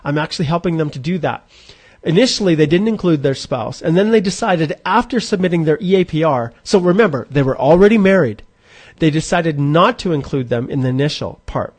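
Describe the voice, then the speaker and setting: A man's voice: a little high, animated, brisk. A man in his forties hosting a live Q&A, chatting informally and thinking aloud as he walks viewers through an online points calculator. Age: 40s. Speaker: immigration advisor hosting a live Q&A. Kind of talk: live-streamed explainer walking through a calculator.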